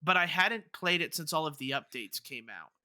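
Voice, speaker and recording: A man co-hosting a podcast in casual chat, haltingly repeating words as he thinks aloud. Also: on the high side, lively intonation, bright, forceful tone, dry recording, clean studio sound. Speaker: podcast co-host.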